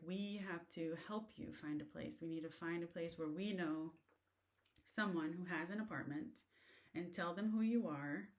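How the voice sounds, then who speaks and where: An American woman talking casually and rambling with lots of fillers, as she shares advice on living abroad. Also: on the low side. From United States. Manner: chatty, rambling, many fillers. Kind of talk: casual talk to camera. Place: in a car.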